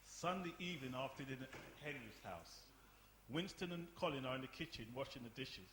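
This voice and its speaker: A man's voice: mid-range voice, lively intonation, a little fast, loud music behind the voice. A man reading scripted scene narration for a live play. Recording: roomy live performance, faint noise.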